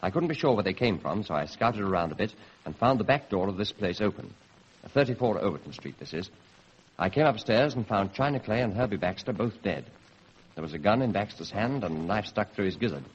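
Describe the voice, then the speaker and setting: An eager, put-upon lowly copper in a radio detective play. His low voice swings about in a very lively way, deferential one moment and grumbling the next, on a dull, noisy old recording. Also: a little fast, husky. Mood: dutiful, eager. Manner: deferential to superiors, grumbling aside. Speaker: actor in a radio detective drama. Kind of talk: radio drama acting.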